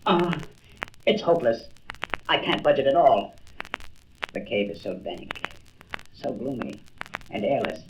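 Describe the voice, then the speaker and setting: A man's voice: medium pitch, sing-song, unhurried, long pauses, dull audio. Man playing an anxious doctor hero, swooping delivery. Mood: panicked, despairing. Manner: dramatic thinking aloud. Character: frail American doctor hero, anxious and earnest. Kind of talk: comic-book audio drama acting. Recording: faintly noisy old record.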